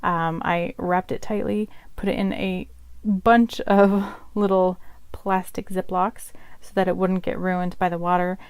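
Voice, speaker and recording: A woman's voice: on the low side, flat delivery, unhurried, hardly any pauses, clear, resonant tone, no room sound. Chatty woman explaining a craft project. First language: Polish. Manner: chatty, explanatory, occasional laughs. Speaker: craft vlogger. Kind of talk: casual tutorial walkthrough.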